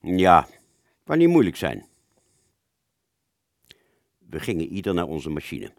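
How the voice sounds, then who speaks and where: A man acts out a wartime fighter pilot remembering his missions in a radio drama. The voice is low and swings expressively as he searches his memory. It sounds very dry and close. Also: huge pitch swings, slow pace, gravelly, no echo, heavily acted. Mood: matter-of-fact. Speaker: storytelling narrator of a radio play. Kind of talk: reflective first-person radio drama narration.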